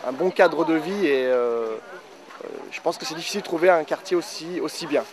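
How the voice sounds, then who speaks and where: A middle-aged man answering a street interview outdoors, measured and full of fillers. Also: on the high side. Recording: noisy street crowd. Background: street crowd chatter.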